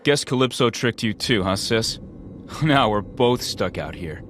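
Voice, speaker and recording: A man's voice: mid-range voice, lively intonation, measured pace, fairly bright tone. An actor playing a casual, wry, wisecracking young man in a video game. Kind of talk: video game cutscene acting. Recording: faint background noise.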